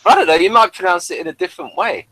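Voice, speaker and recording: A man's voice: sits high, very fast, bright, forceful tone, no echo. A man chatting casually as co-host of a review show.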